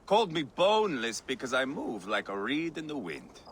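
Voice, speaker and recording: An actor playing a brash, bloodthirsty, mocking Viking warrior with a theatrical, boastful manner in a video game cutscene. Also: male voice, medium pitch, big pitch swings, moderate pace, a bit bright, slight background noise. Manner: theatrical, boastful, swinging between teasing and menace.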